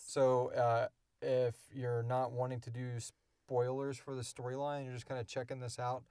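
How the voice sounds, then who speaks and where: A man's voice: medium pitch, monotone, normal speed, darkish tone, clean tone, dry recording, clean studio sound. A man co-hosting a show, chatting off the cuff in an informal, rambling way as he explains things. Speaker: podcast co-creator and co-host. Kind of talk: casual unscripted Q&A chat.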